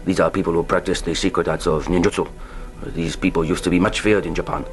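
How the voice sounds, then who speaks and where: A man's voice: deep voice, fairly even intonation, fast talker, natural voice. An actor playing a grave Japanese henchman in a radio play, speaking formally and deferentially to his master. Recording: film score behind voice.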